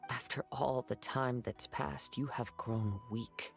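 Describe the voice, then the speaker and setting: An actor playing a calm, perceptive young samurai in a video game, speaking softly and thoughtfully with a husky voice. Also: male voice, mid-range voice, soft background music. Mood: measured, reproving. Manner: measured, thoughtful, gently reproving. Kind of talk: dramatic video game voice acting.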